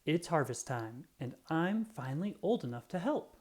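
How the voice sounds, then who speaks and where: Lively picture-book read-aloud voice. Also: mid-range voice, normal speed, breathy edge. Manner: lively, expressive storytelling. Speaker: children's book reader.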